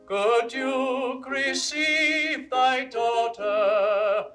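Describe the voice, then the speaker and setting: Ceremonial hymn singer for classical theatre: drawn-out, lyrical, solemn, heard from a distance over music. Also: female voice, high-pitched, fairly bright tone, heavily acted. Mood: solemn, celebratory. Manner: slow sung hymn. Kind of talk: classical theatrical song in audio drama.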